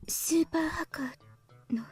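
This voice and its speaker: Woman acting a high-voiced, gloomy shy young woman. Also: a little mellow, close to an everyday voice. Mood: timid, insistent. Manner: hesitant, halting short phrases. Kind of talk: Japanese visual novel voice acting. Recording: music bed behind the voice.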